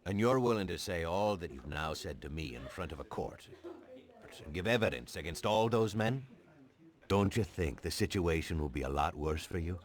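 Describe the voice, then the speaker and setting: A man's voice: sits low, animated. An actor playing a stern, skeptical lawman questioning an informant in a video game. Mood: probing. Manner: deliberate, questioning, businesslike. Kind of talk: dramatic video game dialogue.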